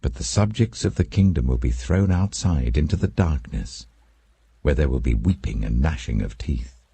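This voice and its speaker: A British man voicing a calm, authoritative holy teacher in a scripture audiobook, speaking gravely and deliberately. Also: a bass voice, no echo, full character voice. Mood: solemn authority.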